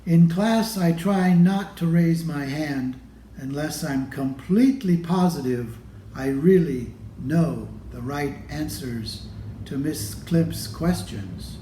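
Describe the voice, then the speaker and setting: Male storybook reader for children: unhurried, soft-edged tone, slightly high pitch, expressive delivery, roomy sound. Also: a little hiss or hum. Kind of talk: expressive children's picture book read-aloud.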